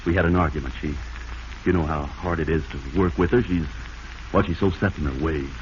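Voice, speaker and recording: Deep-voiced scheming radio villain. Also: male voice, fairly quick. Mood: feigned worry. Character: cold, scheming nephew, smooth-talking and feigning concern. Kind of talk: 1940s radio drama acting. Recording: noisy, muffled 1940s radio recording.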